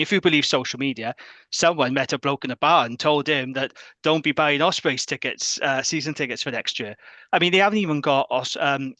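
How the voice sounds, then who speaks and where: A man's voice: mid-range voice, pushed and bright, dry recording. A man co-hosting a rugby podcast, making his points forthrightly and repeating them for emphasis. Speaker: podcast co-host. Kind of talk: casual rugby chat.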